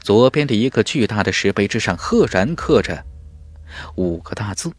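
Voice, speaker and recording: A man's voice: lowish voice, very animated, moderate pace, no room sound. A man narrating a fantasy audiobook in a dramatic, emphatic storytelling manner. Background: music.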